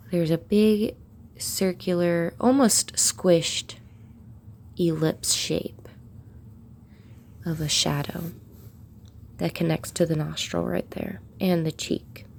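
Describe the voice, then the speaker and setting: A woman with a croaky, gravelly voice from a cold talks slowly through a drawing lesson. Her tone is dark and mellow, and she narrates as she works. Faint noise sits under the recording. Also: on the low side, fairly even intonation. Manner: unhurried, talks while shading. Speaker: art tutorial narrator. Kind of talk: relaxed drawing tutorial voice-over.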